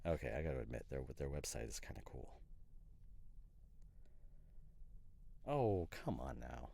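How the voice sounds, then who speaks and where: A man playing an opinionated, teasing boyfriend in an ASMR roleplay, chatting casually in a hesitant, rambling way with mock seriousness and a gravelly voice. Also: rumbling low, very animated, fast, warm tone, heavily acted. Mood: pondering. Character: opinionated, teasing boyfriend debating couple's costume ideas.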